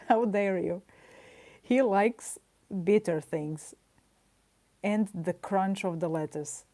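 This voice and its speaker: A woman's voice: medium pitch, slow pace, dark tone. A woman livestreaming while she draws, chatting casually with her viewers. She rambles in playful asides, laughs often and teases her chat with mock indignation. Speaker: livestreaming artist.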